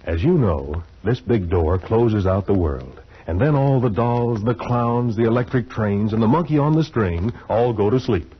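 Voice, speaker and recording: A man's voice: lowish voice, animated, normal speed, few pauses, slightly rough. A man announcing a 1940s radio show from a script, with a theatrical, promotional delivery. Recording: muffled 1940s radio with hiss.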